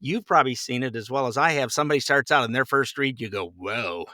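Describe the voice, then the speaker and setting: An older American man talks casually as a guest on a podcast. His voice is bright and forward at an average pitch, with a folksy manner, recorded dry. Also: fast, no room sound. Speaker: podcast guest, voice actor and producer. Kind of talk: casual chat.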